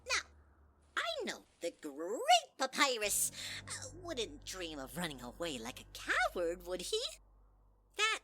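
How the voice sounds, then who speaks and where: An actor playing a manipulative, sinister flower creature, with a sweet and mocking singsong delivery in a fan audio drama. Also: male voice, high-pitched, huge pitch swings, slow, heavy background noise, full character voice. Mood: menacing.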